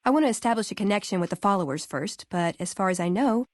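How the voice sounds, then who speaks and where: A woman's voice: medium pitch, lively intonation, fast talker, dry recording, studio quality, close to an everyday voice. An American woman playing a wry, earnest young scribe in a video game, speaking in a conversational way. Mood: casual, friendly. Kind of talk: video game character dialogue.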